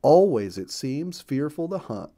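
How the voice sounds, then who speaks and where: A man narrating a children's story as a storyteller, with emphatic, deliberate phrasing. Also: fairly high pitch, sing-song, warm tone.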